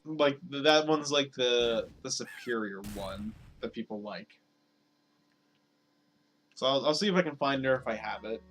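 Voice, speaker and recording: Slightly hoarse man streaming, rambling chat. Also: medium pitch, normal speed, a bit bright, faint music behind. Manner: rambling, filler-heavy, repeats words. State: slightly hoarse, losing his voice. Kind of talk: casual live-stream chat over gameplay.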